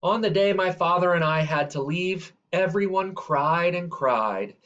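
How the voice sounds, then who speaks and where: A man reading a children's story aloud in a measured, expressive way, drawing out the ends of phrases. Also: sits high, muffled recording. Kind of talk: read-aloud scripted narration.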